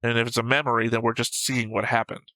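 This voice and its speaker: A man's voice: medium pitch, little pitch movement, fast, sharp tone, no echo. A man co-hosting a reaction show, casually analysing and arguing his points in a matter-of-fact way. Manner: argumentative, matter-of-fact, picking apart points. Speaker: co-host of an anime reaction show. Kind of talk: casual analytical debate, discussion.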